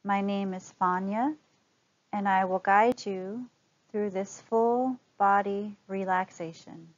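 A woman leading a guided body scan relaxation in a calm, gentle, instructional manner. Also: mid-range voice, slow pace, lo-fi sound. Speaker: meditation guide.

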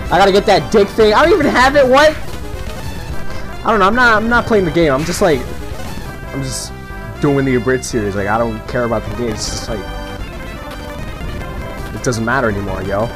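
A man's voice: mid-range voice, lively intonation, fairly quick, pauses often, over music. A man giving rambling, profane, casual commentary while he plays a video game. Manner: rambling, profane, laughs often. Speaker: gameplay commentator. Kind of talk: casual off-the-cuff gameplay commentary.

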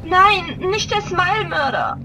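A woman playing an anxious young lady in an audio drama, expressive and exclamatory. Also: very high-pitched, measured pace, noisy background. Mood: terrified. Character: young wealthy woman, anxious would-be victim. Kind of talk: German audio drama acting.

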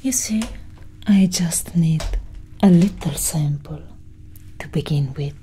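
A woman voicing a cool, curious female alien abductor in an ASMR roleplay, whispering softly and condescendingly close to the microphone. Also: low-pitched, slight background noise. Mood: coaxing, commanding. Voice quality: breathy, husky whisper. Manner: slow, soft, condescending, intimate.

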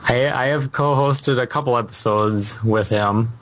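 Male guest, average pitch, hesitant, modest, casual chat over an internet call. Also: measured pace, a bit bright, a little hiss or hum, poor audio. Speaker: podcast guest. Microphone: internet call stream.

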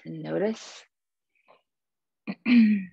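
A woman teaching a guided yoga class in a soothing, gentle, unhurried manner, cueing breath and movement. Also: medium pitch, vocal fry, husky, no echo, lo-fi sound.

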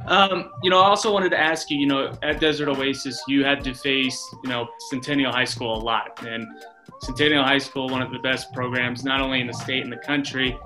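A man's voice: mid-range voice, fairly even intonation, brisk, poor audio. An American man in his thirties doing a casual sports interview as a radio announcer over a video call. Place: small office, indoors, on video call. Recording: video call with music underneath. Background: music.

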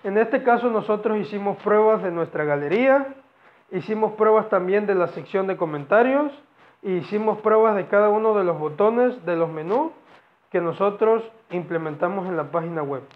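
A young man giving a halting student presentation, explaining project phases and repeating phrases as he goes. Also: high voice, lively intonation. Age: young adult. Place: indoors against a plain wall. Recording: dull lo-fi camera audio.

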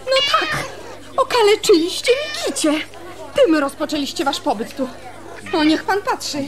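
An actress playing a haughty, indignant schoolmistress in a radio drama, scolding with scorn. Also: female voice, extremely high pitch, big pitch swings, moderate pace. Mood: spiteful, scolding. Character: haughty, indignant schoolmistress fussing over her cat. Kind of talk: Polish radio drama acting.

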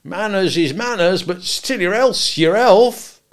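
A man voicing a simple, kindly grown man in a storybook, earnest and gentle, with rustic, ungrammatical speech. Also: fairly high pitch, very animated, slow, gravelly, voice pushed up, full character voice. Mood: aghast.

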